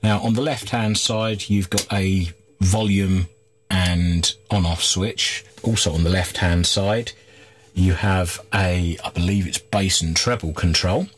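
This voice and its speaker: A man's voice: low voice, steady tone, slow pace, slight background noise. A middle-aged man giving informal, candid hands-on commentary as he presents a repair video. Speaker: host of a radio repair video. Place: small cluttered workshop, indoors.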